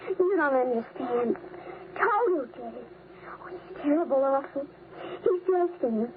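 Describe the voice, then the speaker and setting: A woman's voice: high voice, expressive, unhurried, crowd noise. A woman playing a frightened little girl in a vintage radio drama, tremulous and pleading. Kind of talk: 1950s radio drama acting. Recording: tinny narrow-band old-time radio recording.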